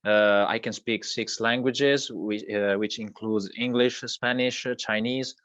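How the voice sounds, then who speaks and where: An Italian-native man talks through his work at a moderate pitch, stopping and restarting his sentences often. The audio is dull and lo-fi, with no room sound at all. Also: fairly even intonation, measured pace, a bit bright, no room sound. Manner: hesitant, many fillers and restarts. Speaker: crypto project co-founder guest. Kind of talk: online video interview.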